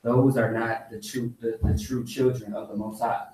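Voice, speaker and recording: Man, insistent casual preacher; flat delivery, far from the mic, roomy. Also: lowish voice, normal speed. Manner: insistent, keeps asking "see what I'm saying?". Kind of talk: casual preaching to a small group. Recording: echoey room, voice heard from off mic.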